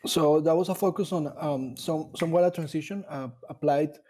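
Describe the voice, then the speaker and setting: A man from Colombia, a dairy nutrition scientist talking about his career in a casual interview, pausing often to rethink his words. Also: fairly high pitch, mellow. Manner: thoughtful, with frequent fillers and restarts.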